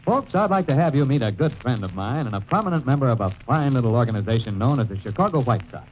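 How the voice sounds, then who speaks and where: A male interviewer in a vintage radio ad. His voice is mid-pitched with lively intonation, over faint broadcast noise. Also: quick pace, low-quality recording. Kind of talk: scripted 1950s radio ad interview.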